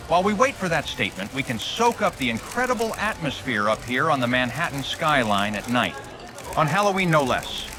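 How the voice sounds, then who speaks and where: An actor playing a bumbling, vain TV news reporter in a motion comic, forever correcting himself. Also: male voice, mid-range voice, background noise, lightly acted. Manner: gaffe-prone, self-correcting.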